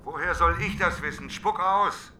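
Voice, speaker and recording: A desperate wily prophet in a radio play, very bright tense voice, urgent, pleading, bit of background noise. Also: male voice, high-pitched, normal speed. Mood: desperate, insistent. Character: desperate, wily man swallowed by a whale, reluctant prophet. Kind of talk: literary radio drama acting.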